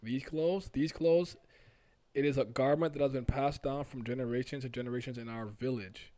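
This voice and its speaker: A man's voice: mid-range voice, moderate pace, dark tone, pitched above the performer's own voice, natural voice. A man acting out a lost young villager who is earnest, bewildered and pleading, as in a comic read aloud.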